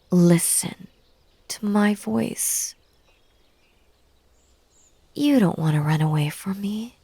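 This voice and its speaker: A woman's voice: mid-range voice, vocal fry, warm tone, slightly rough, dry recording, exaggerated voice. A woman playing an unhinged, lovesick bunny girl in an intimate audio roleplay, coaxing and hypnotic, slipping into whispers. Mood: commanding, hypnotic.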